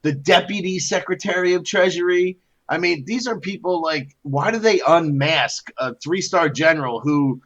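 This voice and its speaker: A man co-hosting a casual political talk show, animated, repeating words and saying "you know" a lot. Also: fairly high pitch, normal speed, highs cut off. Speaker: podcast co-host.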